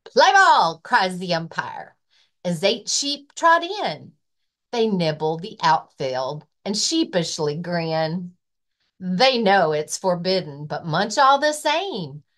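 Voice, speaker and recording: A woman librarian reading a children's rhyming picture book aloud with expressive, rhythmic delivery. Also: lowish voice, takes it slowly. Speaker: librarian and story-time reader.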